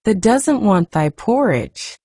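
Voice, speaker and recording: A woman's voice: mid-range voice, big pitch swings, takes it slowly, mellow, no room sound, clean studio sound, full character voice. A woman voicing a good-tempered, outspoken young Yorkshire housemaid in a storybook reading, chatty and homely. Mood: indignant, outspoken.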